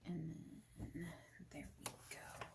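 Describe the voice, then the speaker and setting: A woman speaking softly and breathily, often in a whisper, in a gentle, informal ASMR roleplay as a friendly boss. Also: slow. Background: clicking of keyboard and small handled objects.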